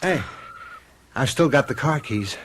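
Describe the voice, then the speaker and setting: An actor playing a baffled, troubled husband who tries to stay reasonable, talking earnestly and haltingly in a radio drama. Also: male voice, medium pitch, expressive, close to an everyday voice. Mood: troubled, resigned. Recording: noisy vintage radio drama tape.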